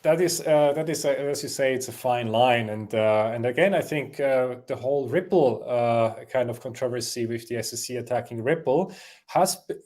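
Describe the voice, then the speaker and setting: A man from Switzerland answering interview questions, pausing with many fillers and restarts. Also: mid-range voice, darkish tone. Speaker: interview guest.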